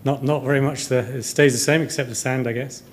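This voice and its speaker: A man's voice: medium pitch, steady tone, brisk. A man in his fifties from Kuwait giving a conference presentation to developers in a hesitant, rambling way, often repeating words. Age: 50s. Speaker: conference speaker, software company director. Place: hotel conference room, indoors.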